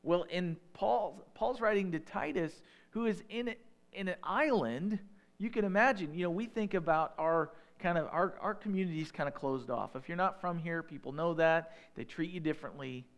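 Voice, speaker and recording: A man in his thirties with a Northern Illinois accent, preaching a sermon in a relaxed, conversational way with folksy asides. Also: high voice, moderate pace. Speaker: pastor.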